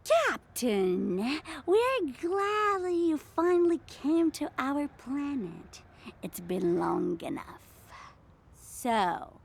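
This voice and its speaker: A woman playing a haughty, easily outraged alien in a comic sci-fi audio play, with theatrical, drawn-out delivery. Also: fairly high pitch, gravelly, heavily acted.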